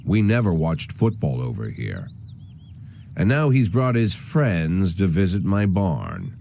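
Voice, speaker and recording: An actor playing a bitter, aging police chief who narrates in a world-weary, sardonic, deliberate way for a noir game. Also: male voice, sits low, wildly swooping pitch, vocal fry, dark tone, slight background noise, exaggerated voice. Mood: wry, brooding. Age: older.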